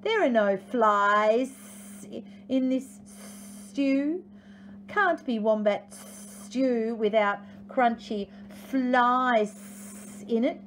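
A woman voicing an old male lizard in a storybook, with a drawn-out, hissing delivery. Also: on the high side, pitched above the performer's own voice. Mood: scornful.

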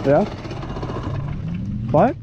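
A man's voice: extremely slow, lo-fi sound. A man riding a dirt bike, chatting casually and joking with a friend as he rides. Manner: relaxed, joking banter. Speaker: dirt bike rider filming. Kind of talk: casual chat while riding. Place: outdoors on a dry sandy lakebed. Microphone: bike- or helmet-mounted action camera. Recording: loud engine and wind noise outdoors. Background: motorcycle engine.

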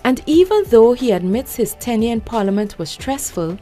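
A woman's voice: fairly high pitch, very animated, moderate pace, mellow, faint music behind. A woman narrating a documentary profile with a polished, scripted delivery.

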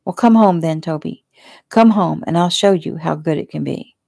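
A woman's voice: sits low, moderate pace, gravelly, mellow, dry recording, clean studio sound. A woman narrator voicing a demanding, clingy young fiancée in an audiobook, theatrical, pleading and indignant.

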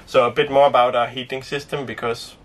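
A young man who grew up speaking Danish, chatting casually to camera inside a small sailboat, relaxed and rambling with lots of fillers. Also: mid-range voice, a little fast, recorded from a distance. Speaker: sailing vlogger. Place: inside a small sailboat cabin and cockpit tent.

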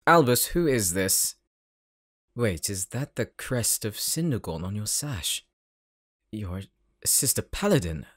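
A man's voice: on the low side, very animated, slow, fairly bright tone, slightly rough, no room sound, clean studio sound, heavily acted. Man acting a haughty, uptight forge master. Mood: suspicious, apologetic. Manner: formal, clipped, haughty. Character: stern, proud, uptight forge master, elder half-brother. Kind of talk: fantasy audio roleplay acting.

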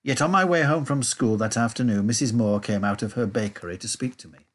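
A man reading a children's storybook aloud in a measured, expressive way. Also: medium pitch. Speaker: audiobook reader. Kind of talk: storybook narration.

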